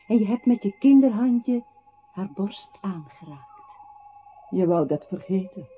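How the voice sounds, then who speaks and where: A man's voice: high-pitched, expressive, measured pace, faint music behind. An actor playing an accusing voice that solemnly tells a captive his own life in a poetic radio play. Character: accusing male voice narrating a captive's life to him. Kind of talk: radio play, poetic second-person narration. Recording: tinny, narrow old radio recording with hiss.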